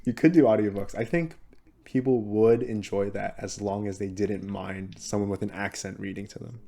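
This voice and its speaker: Chatty, laughing young podcast host. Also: male voice, on the low side, fairly even intonation, moderate pace, vocal fry, warm tone. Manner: upbeat, laughs often, lots of fillers. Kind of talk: casual chat.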